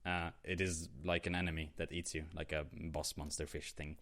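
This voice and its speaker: A man's voice: very deep voice, little pitch movement, moderate pace, fairly bright tone. A young man with a Swedish accent, co-hosting a podcast in casual chat, rambling with many fillers and restarts. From Sweden. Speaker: podcast co-host.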